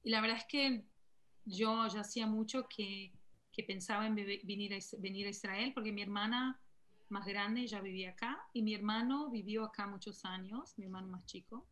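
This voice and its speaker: A woman speaking through a video call worn soft by away. She tells her own story haltingly, filling gaps with ums and restarting words. Her pitch sits in a middling range. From Argentina. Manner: hesitant, many fillers and self-corrections. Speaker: guest in an online panel talk. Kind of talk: casual personal story in an online chat.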